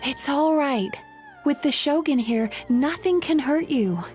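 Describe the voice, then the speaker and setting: An actress voicing a gentle, caring and reassuring young woman in a video game. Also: high-pitched, expressive, a little slow, soft background music.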